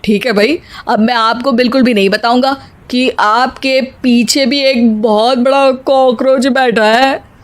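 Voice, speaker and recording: A woman voicing a mischievous grown son and husband who teases in a mocking, melodramatic way in an animated story. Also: fairly high pitch, measured pace, close to an everyday voice. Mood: teasing. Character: teasing adult son, mischievous prankster husband.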